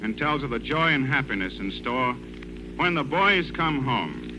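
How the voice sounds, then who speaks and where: A man speaks as host of a 1940s radio show, introducing in a scripted style. His voice is mid-pitched, and the old broadcast recording carries clear noise. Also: big pitch swings, a little slow.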